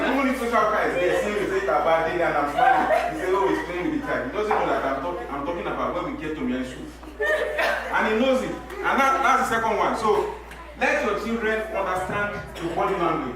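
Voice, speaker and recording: A middle-aged Nigerian man whose first language is Yoruba, speaking on a church talk-show panel. He talks in an animated way, repeats his words and laughs while he makes his points. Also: high-pitched, noisy background. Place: church hall. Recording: very echoey hall, mic from a distance.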